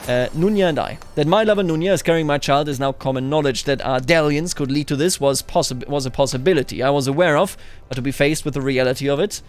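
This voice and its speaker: A man's voice: on the high side, fast talker. A man hosting a game playthrough, musing aloud and reading in-game text with playful theatrical flair. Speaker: host of a let's play series.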